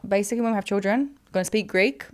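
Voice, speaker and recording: A woman's voice: mid-range voice, very fast. A young woman from Poland, a guest in a casual interview, chatting in a rambling way with many fillers.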